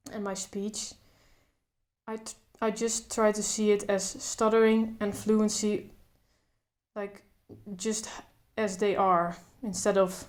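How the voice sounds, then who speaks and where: A young woman talks to camera in a slow, level voice, with little rise and fall in her pitch. She stutters openly, repeating first sounds and pausing in blocks, and keeps going in short, thoughtful phrases. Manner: thoughtful, calm, speaks in short phrases with pauses. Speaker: vlogger. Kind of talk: casual talk to camera.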